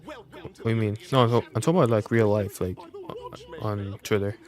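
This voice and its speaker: A young man chatting casually as a game streamer, rambling and halting as he goes. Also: on the low side, steady tone, darkish tone. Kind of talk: casual livestream chat.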